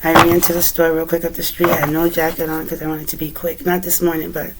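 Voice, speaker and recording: A woman with a raspy, recovering voice giving a relaxed, chatty tarot reading at home, with many fillers. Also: sits low, quick pace, very noisy. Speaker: tarot reader. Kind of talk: casual tarot reading to camera.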